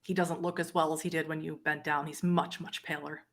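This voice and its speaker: A woman with a fairly low voice narrates a tabletop horror game as its game master. She builds each scene descriptively, with storyteller pauses between phrases. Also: level tone, fairly bright tone.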